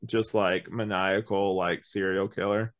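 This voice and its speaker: A man chatting casually as a podcast host, hedging and thinking out loud as he reviews. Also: sits low, level tone, measured pace.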